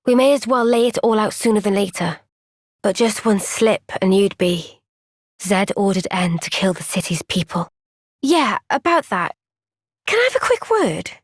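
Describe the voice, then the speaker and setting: A gentle, thoughtful young woman soldier from a video game cutscene. She speaks softly and earnestly, often sighing, in a fairly high voice. The sound is close and dry. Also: dry recording. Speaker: voice actor.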